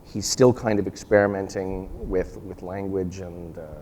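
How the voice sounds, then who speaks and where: A man in a casual panel discussion as a theater practitioner, halting and repeating words as he thinks aloud. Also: low-pitched, fairly even intonation, a little mellow, slight background noise.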